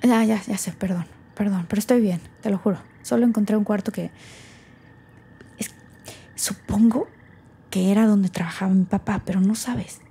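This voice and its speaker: A woman's voice: mid-range voice, fairly even intonation, moderate pace, pauses often, dark tone, breathy edge. A woman playing an anxious, defensive daughter in a naturalistic audio drama, with a Mexican accent and a colloquial, hesitant, emotional delivery. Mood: startled, rattled. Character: anxious, defensive daughter unravelling family secrets.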